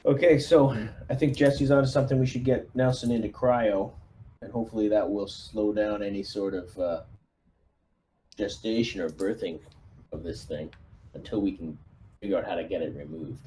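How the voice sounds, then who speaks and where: A man's voice: fairly low pitch, fairly even intonation, moderate pace, dark tone, a little hiss or hum, rough recording. A man playing a squad leader in a tabletop game, chatting casually in and out of character. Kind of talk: casual in-character roleplay chat.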